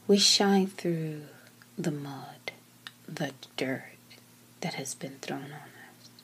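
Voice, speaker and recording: A woman leads a guided meditation in a calm, gentle, breathy voice that often sinks to a near-whisper, speaking in short phrases with long pauses. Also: low-pitched, a little hiss or hum. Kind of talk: slow guided meditation, soothing scripted narration.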